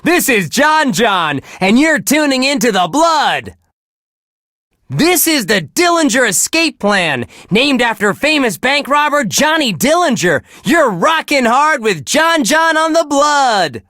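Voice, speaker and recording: A bright, forceful radio DJ, bursts with wholesome cheer. He gushes in folksy exclamations with big swings of pitch, recorded dry and close, as heard on a game's rock station. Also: big pitch swings, takes it slowly, dry recording, exaggerated voice. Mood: upbeat, chipper. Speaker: radio DJ host. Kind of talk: video game radio DJ patter.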